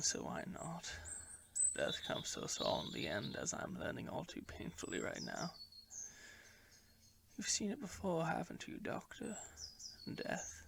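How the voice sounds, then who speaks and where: A man playing a dying, guilt-ridden time traveller in a fan audio drama, speaking in a brooding, theatrical, halting way. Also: a little high, gravelly, background noise, heavily acted. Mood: bitter, weary. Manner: brooding, theatrical, halting delivery dropping into whispers. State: weak, in pain, dying.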